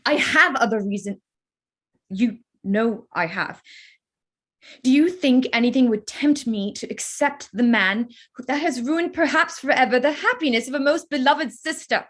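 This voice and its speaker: A woman acts out a proud young gentlewoman in a Regency drama reading. Her voice has a slightly husky edge and sits at an ordinary female pitch. She phrases everything formally and pointedly, with sharp-tongued indignation. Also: normal speed. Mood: angry, accusing. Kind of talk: staged reading of a period drama.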